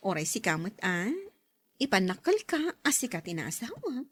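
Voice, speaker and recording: A woman's voice: medium pitch, big pitch swings, unhurried, breathy edge, dry recording. A woman with a Filipino accent playing a busy, career-minded young mother and wife in a radio drama. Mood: pleased, busy.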